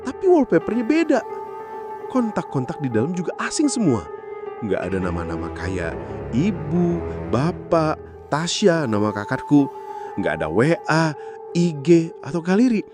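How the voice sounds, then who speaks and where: High, animated male horror storyteller. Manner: suspenseful, theatrical, pausing between short phrases. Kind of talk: dramatic first-person horror narration. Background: background music.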